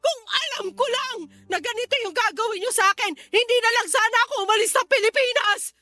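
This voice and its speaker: Very high-voiced, indignant Filipino wife. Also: female voice, brisk, pushed and bright. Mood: indignant, angry. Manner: pleading, reproachful, emotional. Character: hurt, indignant ailing wife and mother, middle-aged. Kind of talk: Filipino radio drama acting. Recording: faint music bed behind voice.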